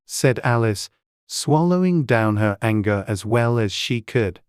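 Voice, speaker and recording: A man's voice: medium pitch, lively intonation, unhurried, clear, resonant tone, dry recording. A man reading scripted audiobook narration as the storyteller, close to the microphone.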